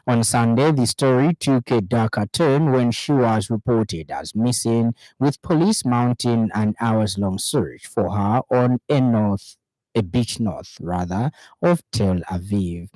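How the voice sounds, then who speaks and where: A man's voice: medium pitch, slow, darkish tone, no echo, poor audio. A man with a Zambian accent reading a scripted radio news bulletin in a studio.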